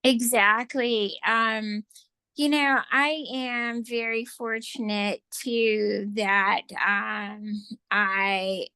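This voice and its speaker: A woman guest in a casual interview who shares reflective stories, often pausing with fillers. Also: on the high side, fairly even intonation, bright, forceful tone, clear, resonant tone, no room sound. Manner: reflective storyteller, lots of fillers.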